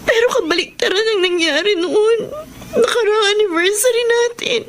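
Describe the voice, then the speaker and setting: High-pitched lovesick young Filipino woman, pleading. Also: moderate pace, slight background noise. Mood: tearful pleading. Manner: tearful, pleading, earnest. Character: lovesick, pleading young woman clinging to her cheating boyfriend. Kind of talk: Filipino radio romance drama acting.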